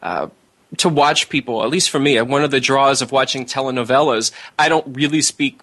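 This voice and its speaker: A man hosting an interview, talking in a relaxed and rambling way with a lot of fillers. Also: mid-range voice, normal speed. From United States. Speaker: interview host. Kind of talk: casual interview chat.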